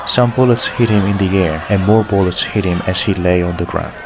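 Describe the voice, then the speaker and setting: Very deep-voiced male audiobook narrator. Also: brisk, heavy background noise. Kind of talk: scripted story narration.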